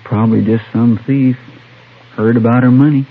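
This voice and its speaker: An actor playing a loyal, folksy American deputy in a radio western, plain-spoken and deferential. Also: male voice, mid-range voice, steady tone, moderate pace, breathy edge. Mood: earnest, concerned. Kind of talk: old-time radio western acting. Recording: tinny, hissy vintage radio recording.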